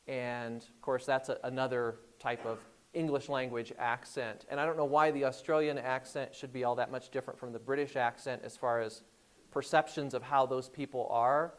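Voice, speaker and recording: A middle-aged man with an Indiana accent speaks to a class as a guest, telling anecdotes in a relaxed, unhurried way. His voice sits at an ordinary middle pitch. He often hesitates and restarts his sentences. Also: smooth. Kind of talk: classroom storytelling talk.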